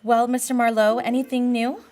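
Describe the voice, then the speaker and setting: An actress playing a genteel, heartbroken wife in an old radio drama, pleading with halting, melodramatic emphasis. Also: female voice, on the high side, flat delivery, a little mellow, close to an everyday voice. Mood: fragile hope. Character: heartbroken, genteel married woman, sentimental and pleading. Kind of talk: 1940s radio drama acting.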